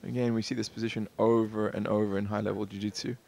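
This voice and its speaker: A man gives calm, measured live commentary on a match. His voice is low and gravelly, with almost no rise or fall in pitch.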